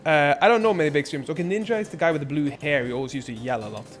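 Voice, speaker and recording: A man's voice: on the high side, racing, soft background music. A young man born in Norway, chatting casually and rambling on his live stream, swearing freely. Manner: rambling, laid-back, lots of filler and swearing.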